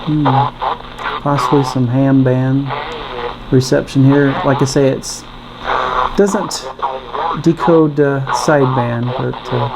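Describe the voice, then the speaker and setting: A man casually demonstrating a product as a reviewer, thinking aloud in a halting way. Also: medium pitch, steady tone, very noisy. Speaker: radio reviewer.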